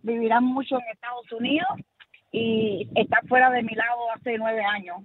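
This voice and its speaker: A Cuban-born woman in her fifties calling in to a radio show, telling a family story in an animated way. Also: on the high side, normal speed, husky, no echo. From Cuba. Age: 50s. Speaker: caller. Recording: phone line.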